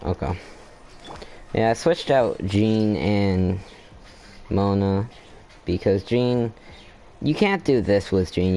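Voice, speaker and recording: Low-voiced, slow, laid-back gamer man. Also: warm tone, slight background noise. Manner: thinks aloud, trailing off and restarting sentences; laid-back, reacts with short exclamations. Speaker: gamer playing through a story quest. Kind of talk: casual let's-play commentary.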